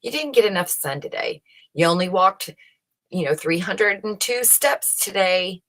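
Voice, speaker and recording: A woman's voice: medium pitch, expressive, unhurried, a bit bright. A woman giving casual commentary on research she reads out, opinionated and emphatic, often putting rhetorical questions to the listener. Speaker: host reading and commenting on research papers.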